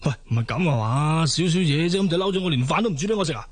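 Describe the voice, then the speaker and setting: An actor playing a sheepish, exasperated young husband in a Cantonese radio drama, stammering and sighing with a Hong Kong accent. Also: male voice, on the high side, animated, racing, no room sound. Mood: defensive. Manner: stammering, sighing, repeats himself.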